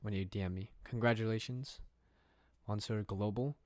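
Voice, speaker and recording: A man's voice: fairly low pitch, fairly even intonation, moderate pace, a little mellow, no echo. A young man from England chatting casually on a livestream from his room, rambling with fillers and reading viewer questions aloud. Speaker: streamer.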